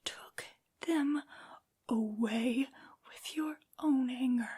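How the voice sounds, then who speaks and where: A woman voicing a tall, haughty, menacing female vampire noble in a breathy, mostly whispered ASMR roleplay, imperious and scolding. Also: high-pitched, dark tone, full character voice. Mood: wounded, scornful. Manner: imperious, slow, drawn-out scolding. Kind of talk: soft-spoken ASMR roleplay.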